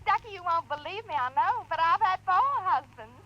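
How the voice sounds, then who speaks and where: Clingy blonde seductress, very high and coaxing, 1950s radio, slightly noisy. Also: female voice, expressive, brisk, pushed and bright, exaggerated voice. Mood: coy. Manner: gushing, breathy endearments, coaxing. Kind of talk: 1950s radio drama acting. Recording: dull, faintly noisy old radio recording.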